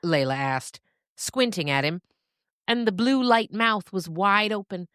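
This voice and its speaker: A woman narrating a scripted story expressively, with dramatic pauses. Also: medium pitch, expressive, a bit bright, clear, resonant tone, no room sound, clean studio sound.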